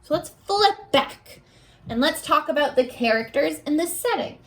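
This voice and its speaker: Very high, animated woman teaching young children. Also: moderate pace. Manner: simple guiding questions, encouraging, addressing young children. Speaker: elementary school teacher. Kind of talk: children's read-aloud lesson, instructional.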